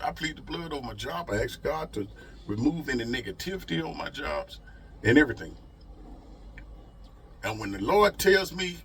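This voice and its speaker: A man's voice: medium pitch, sharp tone, slight background noise. A man talking casually as a trucker vlogger, giving advice in an emphatic, folksy way with repeated rhetorical questions. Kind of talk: motivational advice vlog, casual talk.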